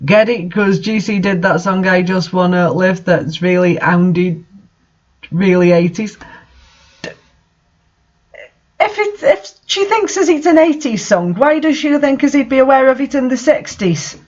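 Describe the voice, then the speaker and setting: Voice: medium pitch, lively intonation, unhurried. A sardonic, exasperated reader giving mocking commentary on bad fan fiction. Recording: dull lo-fi sound.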